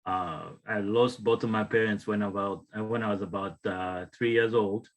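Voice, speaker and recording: Halting Ugandan man telling his story. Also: on the low side, fairly even intonation. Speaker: wellness tonic maker, guest panelist. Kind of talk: informal personal storytelling on a panel.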